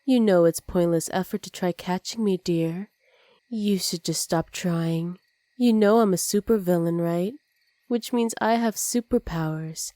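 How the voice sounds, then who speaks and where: A woman voicing a dominant, teasing supervillain in an ASMR roleplay, smug and commanding with soft, intimate delivery. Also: on the low side, measured pace, no echo, close to an everyday voice. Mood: smug, taunting.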